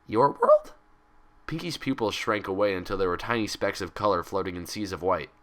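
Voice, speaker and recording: A man narrating fan fiction from a script in an expressive storytelling style. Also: low-pitched, quick pace.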